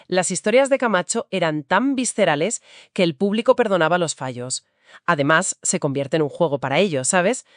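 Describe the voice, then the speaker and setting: A woman's voice: lowish voice, normal speed, no room sound, studio quality. A woman co-hosting a book discussion, giving emphatic, conversational literary commentary.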